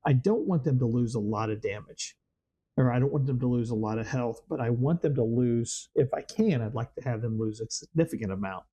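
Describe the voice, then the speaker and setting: Slightly hoarse man, mellow dark voice, casual game commentary, thinks aloud, many ums and uhs. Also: mid-range voice, a little fast. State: slightly hoarse, voice worn from weather change. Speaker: Minecraft server let's-play host.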